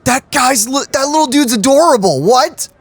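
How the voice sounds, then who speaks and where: Excitable rambling gameplay host. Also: male voice, very high-pitched, moderate pace, breathy edge. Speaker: let's play host. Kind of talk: casual gameplay commentary.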